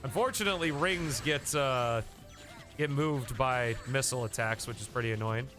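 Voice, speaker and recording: A man's voice: medium pitch, moderate pace, fairly bright tone, soft background music. A man live-streaming a game, chatting casually and thanking viewers by name, a bit sniffly from a coming cold.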